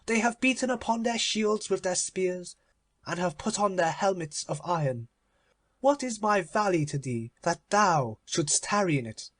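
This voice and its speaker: A man's voice: high voice, lively intonation, a little slow, fairly bright tone, pitched above the performer's own voice, full character voice. A man voicing a miserly, defiant female spirit with indignant, old-fashioned storybook speech. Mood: anguished, angry.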